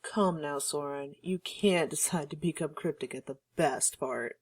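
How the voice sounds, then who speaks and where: A woman's voice: deep voice, a little slow, breathy edge. An actress playing a haughty, teasing vampire noblewoman in an audio drama, with a melodious, playfully mocking, predatory manner. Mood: amused curiosity.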